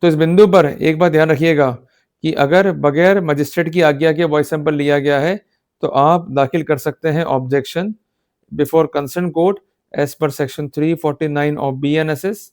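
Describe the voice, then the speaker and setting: Emphatic male lecturer, higher-pitched voice. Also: fairly even intonation, slow, a little mellow. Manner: emphatic, exhortative, checks that listeners follow. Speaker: law lecturer. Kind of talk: explanatory lecture mixing Hindi with English legal terms.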